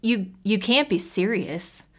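A woman's voice: medium pitch, lively intonation, slow, fairly bright tone, pitched above the performer's own voice. A woman voicing a bewildered, wounded young man in a story, speaking in an emphatic, stammering, incredulous way. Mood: stunned. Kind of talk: dramatic audiobook character voice.

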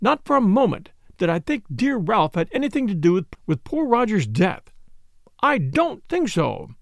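Guy doing fussy older posh mum in an audiobook, whiny n plaintive, pitched up, really swoopy. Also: big pitch swings, normal speed, creaky, dry recording, studio quality, heavily acted. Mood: pious fretting. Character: fretful older society mother, plaintive, self-pitying, obstinate.

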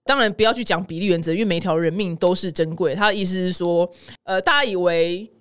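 Fast-talking Taiwanese Mandarin woman. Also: on the low side, smooth. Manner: chatty, informal, slangy. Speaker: podcast host. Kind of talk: casual news commentary.

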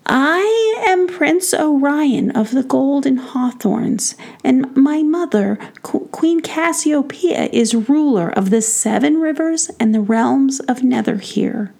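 A woman's voice: high-pitched, lively intonation, slow, gravelly, few pauses, a little mellow, pitched above the performer's own voice, heavily acted. A woman voicing a small, earnest young fairy prince in a storybook, nervous, polite and formal. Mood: nervous, proud. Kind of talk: bedtime storybook character voice.

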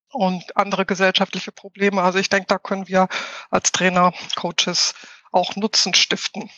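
A woman in her fifties with a Ruhr German accent introduces herself on a panel, talking in a reflective, loosely phrased way with pauses to think. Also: mid-range voice, a bit bright, dry recording, highs cut off. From Ruhr area, Germany. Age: 50s. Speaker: Scrum trainer and panelist.

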